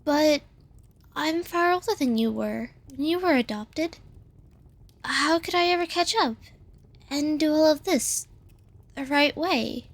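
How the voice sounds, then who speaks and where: A woman as a high-voiced, hesitant teenage griffin girl. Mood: self-doubting, hopeful. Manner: halting, modest, earnest. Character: humble, hesitant teenage griffin girl, earnest war orphan. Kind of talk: scripted audio roleplay drama.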